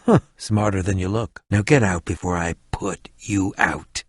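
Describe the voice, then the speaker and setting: A man's voice: deep voice, unhurried, breathy edge, no room sound, studio quality. A male actor voicing a haughty, scheming, villainous potion-maker in a video game, pompous, sneering and melodramatic, with over-enunciated delivery. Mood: suspicious, sneering. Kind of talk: video game character acting.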